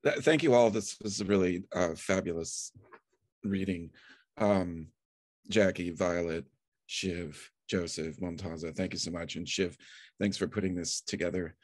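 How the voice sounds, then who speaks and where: A middle-aged man hosting a poetry reading series, making casual, halting closing remarks. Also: sits low, no room sound, studio quality. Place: small bedroom on a video call.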